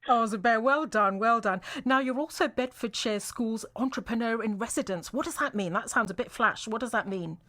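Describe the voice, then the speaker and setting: A woman's voice: on the high side, moderate pace, few pauses, bright, forceful tone. A woman presenting and interviewing a guest on live radio.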